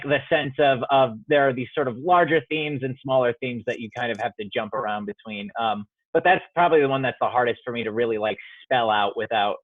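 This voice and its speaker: A man's voice: mid-range voice, dry recording. Young man on a tinny call line. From Iowa, US. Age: young adult. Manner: rambling, restarts thoughts often. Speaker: student playwright. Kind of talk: remote interview conversation. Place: home studio apartment. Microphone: phone or video call. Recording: dry tinny phone line.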